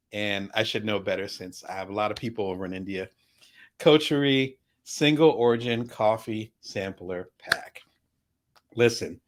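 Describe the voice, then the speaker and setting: A middle-aged man hosting a casual vlog, chatty and animated, full of asides and shout-outs to his viewers. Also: medium pitch. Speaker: vlog host. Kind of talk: casual talk to camera about products.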